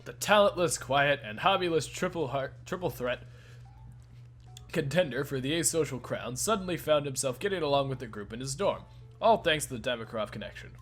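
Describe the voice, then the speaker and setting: A man's voice: mid-range voice, expressive, brisk, fairly bright tone, faint music behind. Laid-back man reading a visual novel. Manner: laughs often, casual and profane between lines. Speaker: let's play host and narrator.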